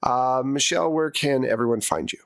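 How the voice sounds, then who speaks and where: A man acting as game master, narrating a tabletop game in a halting, conversational way. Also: medium pitch, dry recording, clean studio sound. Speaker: game master, podcast host. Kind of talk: improvised tabletop game narration and casual chat.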